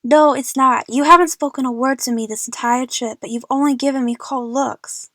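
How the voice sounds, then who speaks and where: A woman acts out a feisty young heroine in an audio drama, outspoken and impatient. Her voice is fairly high and very dry in the recording. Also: fairly even intonation, normal speed, a bit bright, no room sound. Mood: angry. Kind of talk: amateur audio drama dialogue.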